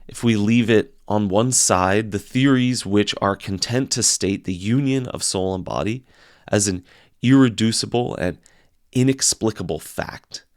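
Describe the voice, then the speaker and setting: A man giving a somewhat dramatic reading of a philosophical text, with theatrical, deliberate phrasing and emphatic swells and drops. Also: medium pitch. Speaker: audiobook reader.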